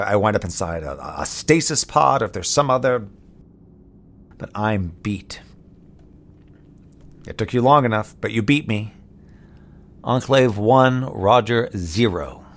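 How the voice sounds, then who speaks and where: Gravelly, rambling moonbase crewman. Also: lowish voice. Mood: exasperated, defeated. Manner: wry, rambling, muttering, thinking aloud. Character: frustrated, rambling lone male moonbase crewman, wry and exasperated. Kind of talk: sci-fi audio drama monologue.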